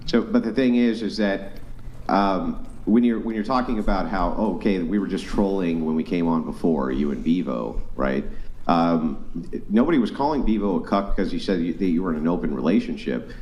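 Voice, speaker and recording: A podcast host with a very low voice argues with his guests. He presses them with pointed questions. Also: moderate pace, a little mellow, lo-fi sound, a little hiss or hum. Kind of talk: heated panel debate.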